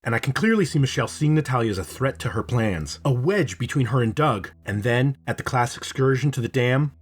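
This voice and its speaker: A man with a husky voice narrates a scripted video essay like a storyteller. His pitch moves in lively swings around a middle register. The delivery is expressive and dramatic, loosened by casual swearing. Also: a little fast. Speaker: video host, reviewer.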